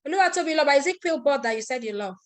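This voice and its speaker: A woman's voice: high voice, animated, rapid-fire. A Nigerian woman, a native Igbo speaker, teaching an online class over a video call, explaining step by step, repeating herself and asking attendees questions. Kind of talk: live screen-share tutorial.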